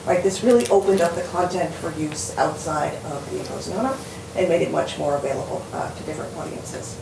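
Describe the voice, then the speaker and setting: Woman panel speaker, low voice, earnest with fillers; distant roomy recording. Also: a little fast, gravelly. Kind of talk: conference talk. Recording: echoey hall from far off, noisy.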